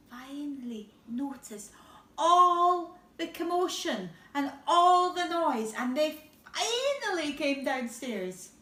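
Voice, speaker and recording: Animated high-voiced woman reading a story. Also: slight background noise. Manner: expressive, drawn-out words with asides to listeners. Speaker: teacher reading a bedtime story. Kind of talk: animated picture-book storytelling for young children. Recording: roomy echo, distant microphone.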